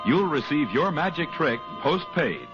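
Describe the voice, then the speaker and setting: A man's voice: on the high side, sing-song, measured pace. Male American announcer for old-time radio ad, swooping emphatic delivery, muffled sound with prominent music. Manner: emphatic, salesmanlike. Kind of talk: vintage radio sales pitch. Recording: muffled vintage radio recording over music.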